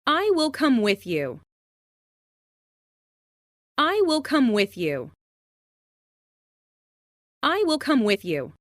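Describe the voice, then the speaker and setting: A woman reading scripted English example sentences for language learners, enunciating carefully and deliberately. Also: high voice, big pitch swings, dry recording, studio quality. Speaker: English lesson narrator.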